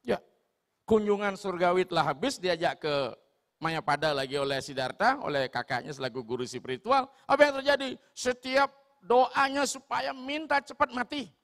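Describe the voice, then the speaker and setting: A man with a Sulawesi accent giving an animated storytelling sermon in a large hall. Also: high-pitched, normal speed. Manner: animated, switching into voices. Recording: long hall reverb.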